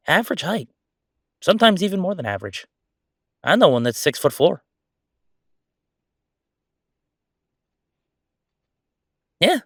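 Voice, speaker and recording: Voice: medium pitch, no echo, clean studio sound. An actor playing a chatty, sardonic ghost roommate in a roleplay audio, talking in a casual, teasing, exclamatory way. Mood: indignant, amused. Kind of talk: roleplay audio acting, conversational.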